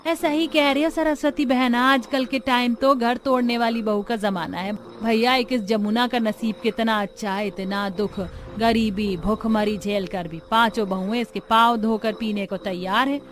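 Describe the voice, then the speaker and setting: A woman's voice: a little high, steady tone, moderate pace, hardly any pauses, close to an everyday voice. A woman voicing a snobbish, envious village neighbour who gossips and taunts, in a cartoon story. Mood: envious gossiping. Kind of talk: Hindi cartoon story dialogue.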